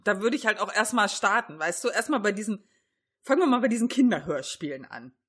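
Slightly husky woman chatting informally. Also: medium pitch, lively intonation, fast talker, fairly bright tone. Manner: giggly, informal, self-deprecating. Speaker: podcast co-host. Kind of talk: casual chat.

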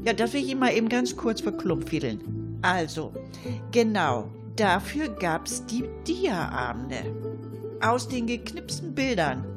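A woman's voice: mid-range voice, big pitch swings, unhurried, over music. A woman playing a chatty older lady in a comic monologue, rambling colloquially and addressing her listeners with mock indignation. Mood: chatty, explaining.

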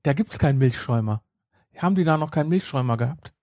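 A middle-aged man banters casually as a podcast co-host. His voice is medium-pitched and soft-edged, recorded dry and close, and he rephrases himself often in an amused way. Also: lively intonation, quick pace, no echo. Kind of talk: casual banter about an audio drama series.

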